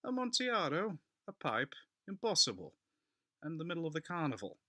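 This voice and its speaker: A man's voice: on the high side, huge pitch swings, a little fast, bright, forceful tone, dry recording, clean studio sound, pitched above the performer's own voice, full character voice. A man playing a drunken, boastful Italian wine connoisseur in a dramatic audiobook reading, exclamatory and dismissive. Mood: astonished, excited.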